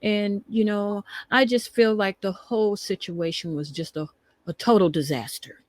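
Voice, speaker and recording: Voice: mid-range voice, normal speed, no echo. A co-host giving conversational reaction commentary, speaking emphatically and repeating phrases for weight.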